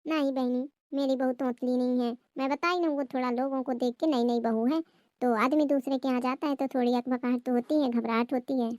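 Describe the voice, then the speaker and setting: A woman's voice: sits high, fairly even intonation, brisk, darkish tone, no room sound, clean studio sound, lightly acted. A woman playing an anxious, scolding Indian mother-in-law in a family comedy skit. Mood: defensive. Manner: fretful, scolding, gossipy.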